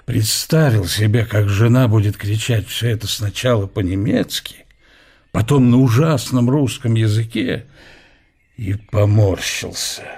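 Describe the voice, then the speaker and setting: A man narrating a literary radio drama in an expressive scripted reading. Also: on the low side, a little slow, creaky, breathy edge.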